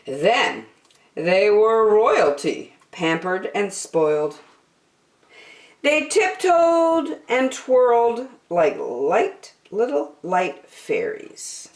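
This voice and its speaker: A woman reading a rhyming picture book aloud as a storytime host, expressive and theatrical, addressing young children. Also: mid-range voice, drawn-out words. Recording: roomy, echoing sound.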